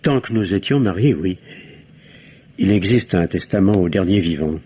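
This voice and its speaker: An actor playing a doctor questioned by the police, who speaks in a hesitant, guarded way, in the manner of a 1950s radio drama. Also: male voice, deep voice, expressive, moderate pace, warm tone, a little hiss or hum. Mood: confessing. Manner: hesitant, guarded, then confessional. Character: nervous doctor questioned as a suspect, man. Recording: dull old radio recording.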